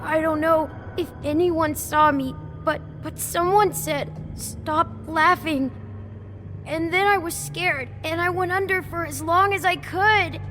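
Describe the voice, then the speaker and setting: Very high-pitched, timid little boy. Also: takes it slowly, sharp tone, slight background noise, heavily acted. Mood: scared, guilty. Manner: stammering, hesitant, apologetic. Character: frightened, guilty young toad boy. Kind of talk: video game voice acting.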